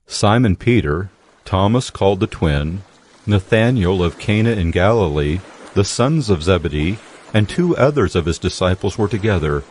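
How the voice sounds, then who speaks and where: A man narrating scripture from a script in a measured, solemn way. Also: low-pitched, animated, a little mellow, breathy edge. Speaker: Bible narrator.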